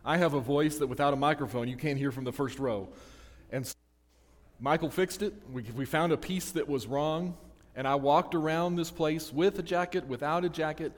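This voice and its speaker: Guy preaching in church, kinda high voice, long echo tail, chatty and joking at himself. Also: moderate pace. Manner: conversational and self-deprecating, with restarts. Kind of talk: sermon. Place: church sanctuary. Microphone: handheld or stand microphone.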